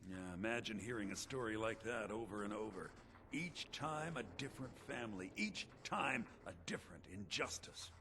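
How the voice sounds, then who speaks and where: An actor voicing an embittered, calculating rebel leader in prison in a video game, philosophical and quietly menacing. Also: male voice, on the low side, animated, normal speed, slight background noise.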